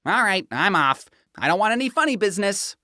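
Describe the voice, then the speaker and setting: A man's voice: high-pitched, sing-song, fairly quick, sharp tone, no echo, studio quality, exaggerated voice. A man voicing a strict, bossy mother laying down rules in a comedic cartoon story.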